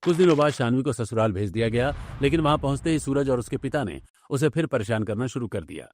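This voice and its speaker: A man narrates a family cartoon tale in scripted storytelling style. His voice sits at a middle pitch, with music playing faintly behind him. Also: lively intonation, fast, a little mellow.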